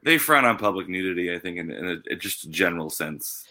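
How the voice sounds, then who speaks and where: A man who teaches, co-hosting a casual podcast in an easygoing, halting way. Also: low-pitched, lively intonation, over a noisy crowd.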